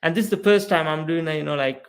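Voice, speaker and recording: A man's voice: on the high side, fast. A man from Sri Lanka in his thirties, a guest on a podcast, telling his story earnestly and often asking "right?". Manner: earnest, animated, frequent "right?" and "you know". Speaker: podcast guest, mindset coach. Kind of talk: casual storytelling interview.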